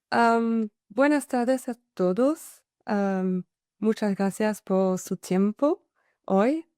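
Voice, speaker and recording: Slow, hesitant woman with a French accent. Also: medium pitch, dark tone, no room sound, clean studio sound. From Paris, France. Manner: hesitant, with many "am" and "so" fillers. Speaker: guest speaker, consultant. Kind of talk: online masterclass presentation.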